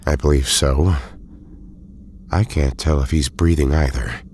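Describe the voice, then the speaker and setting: Extremely deep, courteous male doctor played by an actor. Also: fairly even intonation, measured pace, darkish tone, slightly rough, full character voice. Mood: concerned. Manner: hesitant, polite, precise. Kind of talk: audio drama acting.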